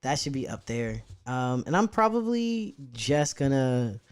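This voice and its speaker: A young man chatting loosely with his live-stream viewers, swearing often and drifting from thought to thought. His voice sits in a medium range, neither deep nor high. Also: sing-song, a little slow, smooth. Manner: rambling, loose and profane, talking to chat. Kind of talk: casual live-stream chat.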